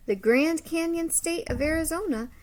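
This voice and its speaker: A woman voicing earnest schoolchildren in a storybook who formally and proudly announce votes in a drawn-out, declamatory way. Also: very high voice, voice pushed up.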